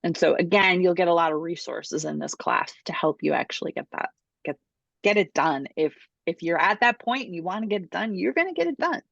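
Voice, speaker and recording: Gravelly-edged woman hosting a casual class. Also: fairly low pitch, animated, brisk, fairly bright tone, no echo. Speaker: host of a book publishing Q&A class. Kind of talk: casual online class talk. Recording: dull lo-fi video call audio.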